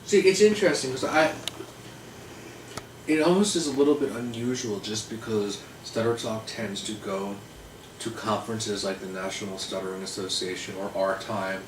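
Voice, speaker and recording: A man's voice: on the low side, fairly even intonation, normal speed. A man who hosts the chat, asking questions and stuttering now and then. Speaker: host. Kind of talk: casual chat. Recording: echoey room, distant mic, noisy.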